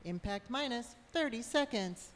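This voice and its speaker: A woman's voice: a little high, expressive, unhurried, heavy background noise. A woman playing a chummy, relentlessly cheerful spaceship computer in a live radio play, breezily announcing things. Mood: chirpy. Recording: live hall, slight music behind.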